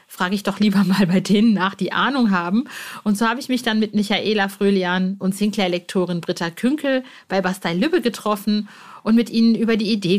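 A woman hosts a podcast in a medium-pitched voice. She addresses listeners directly in a chatty, informal way, using colloquial everyday phrasing. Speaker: podcast host.

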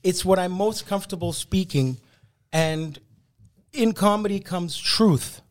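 A middle-aged man, a comedian whose first language is Italian, telling anecdotes on a podcast with lively restarts. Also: high voice, measured pace. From Canada. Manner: animated anecdotes with laughs and restarts. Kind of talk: casual storytelling interview.

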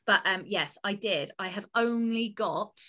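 Chatty bright-voiced woman podcast host. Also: medium pitch, measured pace. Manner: animated, laughs often, rambling with restarts. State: voice lower than usual. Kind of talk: casual chat.

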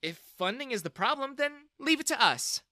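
A man's voice: very high-pitched, big pitch swings, normal speed, pushed and bright, dry recording, clean studio sound, voice pushed up, heavily acted. A man voicing a kind, outspoken young woman who speaks earnestly and flares up in anger. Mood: earnest, angry. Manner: pleading, fiery when provoked.

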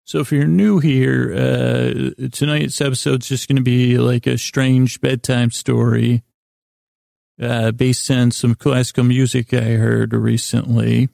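Gravelly man rambling soothingly, very creaky. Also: medium pitch, flat delivery, dark tone, no echo, clean studio sound. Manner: meandering, halting, stumbling; soothing, lulling, mildly silly. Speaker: podcast host. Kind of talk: rambling bedtime monologue.

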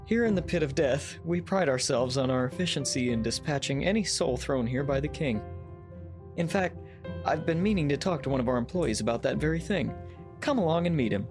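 A man acting in a comedy audio drama as a pompous, exasperated boss of a death pit, with deadpan corporate patter. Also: fairly high pitch, moderate pace, music in the background, natural voice. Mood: officious, cheery.

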